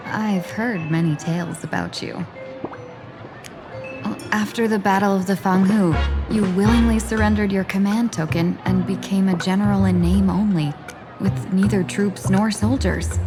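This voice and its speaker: A woman voicing a composed, sly fox-woman diplomat for a video game, speaking in a measured, polite and coolly self-possessed way. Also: mid-range voice, steady tone, lightly acted. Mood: calm, probing. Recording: roomy echo under clear background music.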